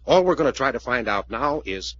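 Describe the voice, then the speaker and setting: Husky, intense radio detective. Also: male voice, medium pitch, sing-song, brisk. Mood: urgent, puzzling. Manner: urgent, insistent, commanding questioner. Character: intense, driven male investigator puzzling out a case. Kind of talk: 1940s radio detective drama acting. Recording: muffled old radio broadcast recording.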